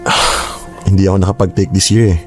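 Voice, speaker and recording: Radio drama: man as a touchy older brother, a fast talker with a lowish voice. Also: little pitch movement, soft background music, lightly acted. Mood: calm. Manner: defensive, quickly flares into shouting. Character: proud older brother, a young teacher.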